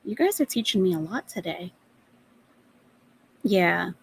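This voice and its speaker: A woman's voice: fairly low pitch, expressive, measured pace, creaky, slight background noise, poor audio. A woman in her forties chatting casually as she reacts to a video, often turning to her viewers with questions and laughing. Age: 40s. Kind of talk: casual commentary reacting to a clip.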